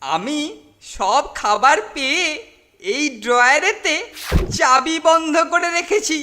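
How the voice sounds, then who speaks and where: Sneaky greedy cartoon bully kid, high bright voice, gloating. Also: male voice, animated, takes it slowly, exaggerated voice. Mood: scheming. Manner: sneering, gloating. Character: greedy sly boy bully Keltu.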